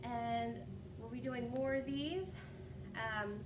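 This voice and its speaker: A woman teacher reading a story aloud to young pupils, expressive and often addressing listeners with questions. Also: fairly high pitch, slow pace. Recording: roomy, distant home recording with noise.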